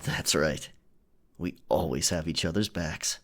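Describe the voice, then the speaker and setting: A man's voice: deep voice, unhurried, a bit bright, a little hiss or hum. A man playing a charming, flirtatious pirate captain in a romantic audio roleplay, teasing and tender as he speaks to his lover. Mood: fond, reminiscing.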